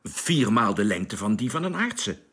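An actor playing a commanding but doubting spaceship chief in a radio drama, with a husky voice and urgent, questioning manner. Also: male voice, on the low side, very animated, unhurried, a bit bright. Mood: puzzled.

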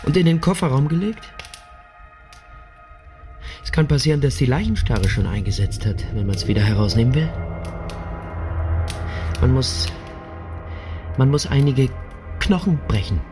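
A calm, sinister lord of the manor. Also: male voice, mid-range voice, music in the background. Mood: menacing. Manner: slow, insinuating, menacing. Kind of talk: radio drama acting.

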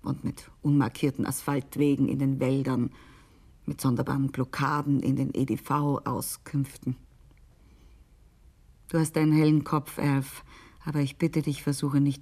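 Grave female insider, radio drama monologue, low dark voice, measured, confiding. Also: flat delivery, husky, exaggerated voice. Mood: cautioning, confiding. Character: grave, disillusioned female government insider warning a friend.

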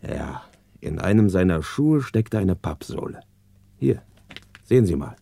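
A man's voice: sits low, very animated, a little slow, gravelly, warm tone, full character voice. A man acting a matter-of-fact police inspector giving precise, clipped reports in a 1960s German radio play. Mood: reporting. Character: businesslike male police inspector reporting to his chief. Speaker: actor in a radio crime drama.

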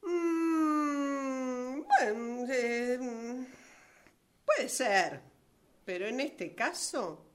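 A woman acting a flustered, defensive novelist in a radio play, with an Argentine Rioplatense accent. Her highish voice moves slowly and hesitantly, stammering in protest, with big swings of pitch. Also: animated, full character voice.

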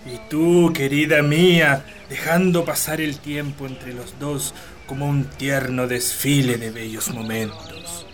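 A man plays a fond, middle-aged gentleman in a radio drama. He speaks slowly, in a florid and courtly way. Soft music plays underneath. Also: medium pitch, steady tone, close to an everyday voice. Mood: effusive. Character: jovial, flowery middle-aged gentleman landowner, fond husband and father.

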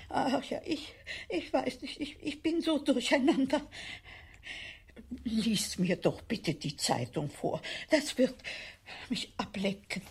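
A fearful old spinster in a radio drama. Her high voice is very breathy and swoops about as she frets and falters, unhurried and easily worked up. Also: full character voice. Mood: flustered. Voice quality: husky, breathy. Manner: fretful, halting, anxious. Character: fearful, excitable old spinster aunt, 79, housebound.

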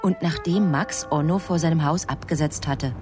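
A woman narrating a literary radio play in a measured, composed storytelling manner. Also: deep voice, over music, close to an everyday voice.

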